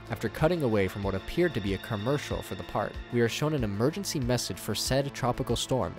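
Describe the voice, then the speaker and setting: Wry male commentator over music. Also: mid-range voice. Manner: wry, jokey asides. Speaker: YouTube horror series commentator. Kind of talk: scripted narration, commentary. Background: music bed.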